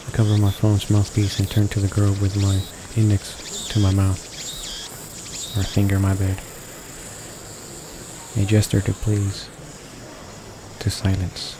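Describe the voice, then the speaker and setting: A man reads a visual novel aloud in a low, very flat voice. He narrates haltingly, tripping on words and correcting himself as a game host. A steady noise sits clearly behind him in a homemade recording. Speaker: video game walkthrough host reading aloud. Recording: noisy home recording with constant background hiss.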